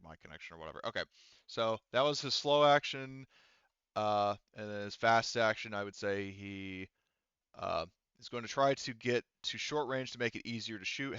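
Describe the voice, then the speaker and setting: Male game master, lowish smooth voice, casual and sweary, improvising over slightly dull, dry audio. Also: a bit bright, no echo. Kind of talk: improvised tabletop game narration over voice chat.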